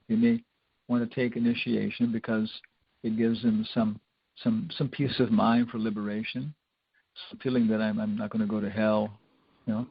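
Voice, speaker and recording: Older man, gravelly informal spiritual lecturer. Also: on the low side, no room sound. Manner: rambling, conversational, with wry humour. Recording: dull lo-fi lecture recording.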